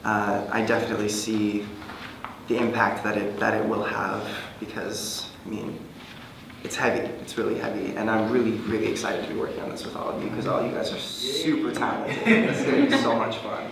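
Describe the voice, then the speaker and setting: A man speaking earnestly and haltingly in a group discussion. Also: lowish voice, fairly even intonation, vocal fry. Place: indoor room with a group. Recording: very echoey, noisy room.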